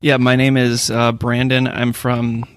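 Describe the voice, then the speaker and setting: A man from the US introduces himself with a fairly flat, even delivery, enthusiastic but halting. The sound is dry and close. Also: medium pitch, dry recording. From Minneapolis, US. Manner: enthusiastic, hesitant. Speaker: discussion participant.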